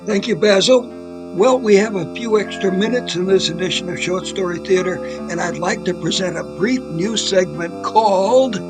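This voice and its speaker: A man's voice: sits high, normal speed, over loud music, poor audio. A man hosting and narrating a scripted trivia segment in a storytelling style. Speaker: producer and host.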